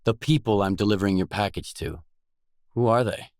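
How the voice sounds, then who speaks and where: An actor playing a wary, world-weary stalker, the blunt protagonist of a video game, in terse acted dialogue. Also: male voice, lowish voice, brisk, darkish tone, no echo, clean studio sound, close to an everyday voice. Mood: businesslike.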